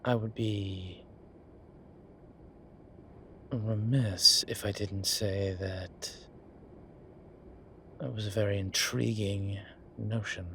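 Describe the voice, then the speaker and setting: A composed male demon professor in an audio roleplay, acted by a man, who teases in a measured, genteel way. He talks very slowly and unhurriedly, often sinking into a hush. A faint hiss of noise sits under the voice. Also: lowish voice, steady tone, exaggerated voice. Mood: flirtatious. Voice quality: soft, often whispered, unhurried.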